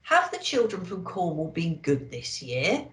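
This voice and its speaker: A woman voicing a jolly old Santa Claus for a children's storybook, hearty and booming. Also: very animated, a little slow, a bit bright, echoey room. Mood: booming, curious.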